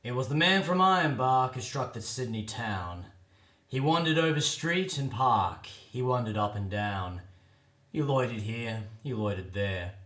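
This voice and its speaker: A man giving a theatrical, expressive dramatic recitation of a comic ballad as a poetry reader. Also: mid-range voice, measured pace, roomy sound.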